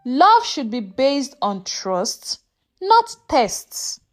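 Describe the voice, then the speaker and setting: A woman reading a scripted folktale as a storyteller, in an expressive, dramatic way. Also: high voice.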